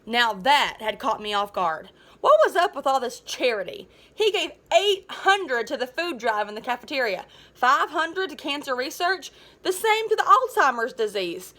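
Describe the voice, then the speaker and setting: Female reader as a sour schoolboy ex-bully, high-pitched, sarcastic, resentful, children's novel narrator. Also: big pitch swings, normal speed, pushed and bright, exaggerated voice. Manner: sarcastic, grumbling storytelling. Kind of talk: first-person children's novel read aloud.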